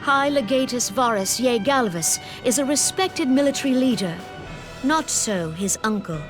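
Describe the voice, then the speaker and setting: A fairly high-voiced woman counsellor from a fantasy game played by an actress speaks with formal, measured authority. Her delivery is deliberate and composed, like a briefing to allies, with music playing softly underneath. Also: expressive, close to an everyday voice. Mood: grave, assured. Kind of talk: fantasy game cutscene acting.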